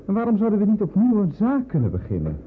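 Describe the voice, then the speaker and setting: An eager young son in a radio play. His high voice swings very widely in pitch, buoyant and emphatic, on a tinny narrow-band recording. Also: wildly swooping pitch, fast, slight background noise. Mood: eager, reassuring. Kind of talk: radio drama acting.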